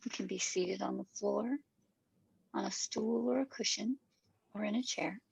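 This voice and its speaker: Husky, creaky woman guiding meditation slowly. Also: medium pitch, a little mellow, no echo, low-quality recording. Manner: soothing, measured instruction. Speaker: meditation guide. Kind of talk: guided meditation narration.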